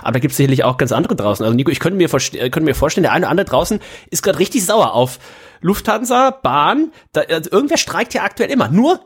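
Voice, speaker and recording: Ein Mann mit norddeutschem Akzent, der als Gastgeber locker und gesprächig plaudert, mit lebhaften Tonsprüngen. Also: male voice, fast, breathy edge. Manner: chatty, with lively pitch jumps. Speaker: podcast host. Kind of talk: casual chat.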